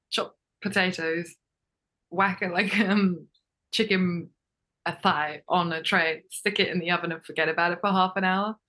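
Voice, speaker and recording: A young woman raised in Japan talks as a podcast guest in a loose, rambling way. Her voice sits a little low, and she fills her talk with "like" and "um" and soft laughs. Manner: chatty, many fillers and laughs. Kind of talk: casual interview chat.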